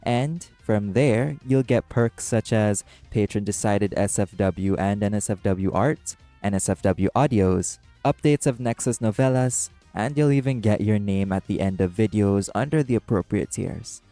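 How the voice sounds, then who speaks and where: A man's voice: fairly low pitch, moderate pace, few pauses, mellow, no room sound, soft background music. A man delivering a scripted outro to his listeners as an audio creator.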